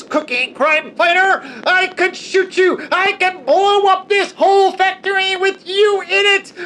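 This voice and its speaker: A gleeful, unhinged female villain in a comedic audio drama, sneering and cackling at everyone. The voice sits very high and sharp, and the lines are stretched out slowly. A faint hum of ambience lies behind it. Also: exaggerated voice, rough recording. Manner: mocking, cackling, profane. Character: gleeful unhinged female supervillain who breaks the fourth wall.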